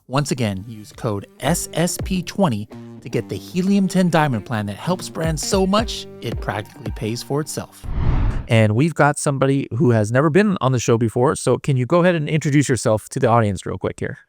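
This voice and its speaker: A man's voice: medium pitch, lively intonation, brisk. An upbeat man hosts a podcast, moving from a promotional read into a casual chat with a guest. Faint music plays underneath his voice. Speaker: podcast host. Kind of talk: scripted intro then casual interview.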